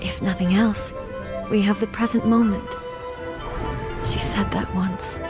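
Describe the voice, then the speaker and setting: A woman's voice: mid-range voice, fairly even intonation, natural voice. Solemn, regal shogun goddess over music, woman acting. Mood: sorrowful, solemn. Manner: grave, measured, formal. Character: solemn, regal female shogun deity devoted to eternity. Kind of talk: dramatic video game acting. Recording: studio voice over background music.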